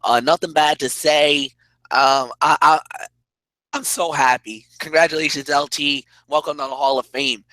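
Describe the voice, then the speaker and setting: Man, hoarse, raspy, slightly high voice, excitable sports chat, restarts a lot. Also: normal speed, dry recording, low-quality recording. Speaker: co-host. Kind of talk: casual sports talk.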